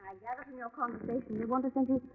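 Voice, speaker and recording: High, tinny actress as a coaxing girlfriend. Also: level tone, racing, close to an everyday voice. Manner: pleading, cajoling. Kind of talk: 1940s radio drama acting. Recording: tinny, reverberant, hissy 1940s radio recording.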